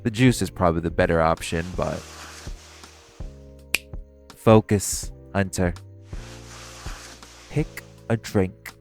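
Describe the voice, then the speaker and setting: A man's voice: slow pace, pauses often, a little mellow, faint music behind, full character voice. A man playing a teasing, flirtatious vampire in an intimate audio roleplay, smug yet protective. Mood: teasing, caring. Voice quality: low, gravelly voice.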